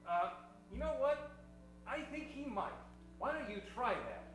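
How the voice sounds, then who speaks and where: A man's voice: very high-pitched, sing-song, a little slow, full character voice. A man playing an earnest, polite young boy in old-time radio style. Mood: polite, helpful. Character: earnest young boy Jimmy, secretly a hero. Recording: very echoey room, music behind.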